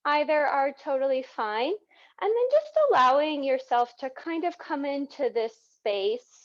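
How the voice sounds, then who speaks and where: A woman teaching yoga, guiding breathing in a soothing, gentle manner with unhurried phrasing. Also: fairly high pitch. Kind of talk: guided yoga breathing instruction. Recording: dull, muffled lo-fi sound.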